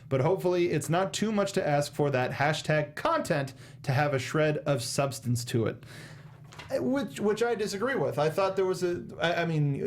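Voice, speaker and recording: A young American man co-hosting a movie review show in casual, conversational style on a close studio microphone. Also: fairly high pitch. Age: young adult. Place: small indoor studio. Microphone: close studio microphone with foam windscreen.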